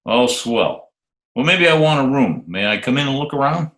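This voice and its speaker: An actor playing a wisecracking, sardonic private detective who also narrates, in a 1940s-style radio drama. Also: male voice, medium pitch, very animated, normal speed, a bit bright. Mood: pressing. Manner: dry, sardonic. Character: wisecracking hard-boiled private detective, narrating his case. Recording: roomy remote home recording, faint music.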